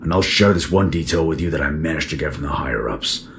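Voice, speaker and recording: A man playing a stern, guarded captain of space troopers in an audio drama, with a gravelly voice. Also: a bass voice, animated, fast talker, fairly bright tone, a little hiss or hum, heavily acted. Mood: grave, confiding.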